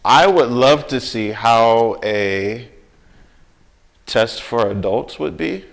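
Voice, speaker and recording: A man's voice: medium pitch, slow, creaky. A man talking in a casual discussion, often checking in with his listener.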